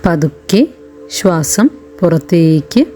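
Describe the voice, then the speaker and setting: A woman leads a guided meditation in a soft-edged, mellow voice that sits fairly low. She is very unhurried, leaving long pauses between short, soothing phrases. Music plays behind her. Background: background music.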